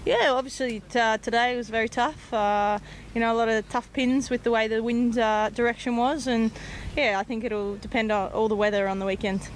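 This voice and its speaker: A young woman with an Australian accent and a fairly high voice answers casually in a sports interview. There is faint outdoor noise behind her. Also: steady tone, brisk. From Victoria, Australia. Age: young adult. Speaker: professional golfer. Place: outdoors at a golf course.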